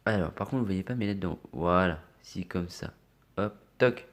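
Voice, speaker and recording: A man with a slightly low voice and a head cold talks casually to his viewers while scratching tickets. He often trails off into quiet muttering as he checks letters one by one. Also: normal speed. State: has a cold. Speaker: scratch card vlogger.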